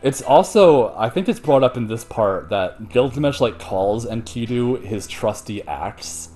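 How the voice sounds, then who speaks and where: A man's voice: medium pitch, lively intonation, measured pace, warm tone, soft background music. A man hosting a show, retelling a story in casual, slangy, profane and jokey chat. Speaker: host retelling an ancient myth.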